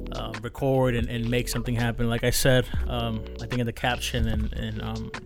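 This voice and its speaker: A man's voice: mid-range voice, little pitch movement, normal speed, gravelly, over music. Chatty man hosting a podcast. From California. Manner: loose and chatty, full of fillers and restarts. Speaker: podcast host. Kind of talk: casual chat.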